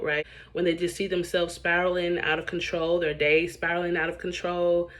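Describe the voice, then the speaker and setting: A woman in her late thirties with a raspy voice that sounds worn out after a long day. She talks straight to the camera like a coach, earnest and easygoing, and often stops to check in with the listener. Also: on the low side. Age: 30s. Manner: earnest, keeps asking "right?". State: tired. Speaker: parenting coach. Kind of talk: casual talk to camera.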